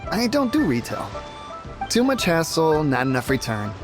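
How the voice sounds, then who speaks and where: An actor playing a reluctant, easily flustered merchant in a video game. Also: male voice, a little high, wildly swooping pitch, moderate pace, over music. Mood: apologetic. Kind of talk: video game character acting.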